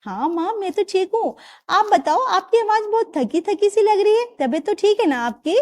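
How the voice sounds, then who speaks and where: A caring young daughter, put on by a woman narrator, anxious and eager to help. She asks questions with rising concern. Her voice is bright and high. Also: lively intonation, normal speed.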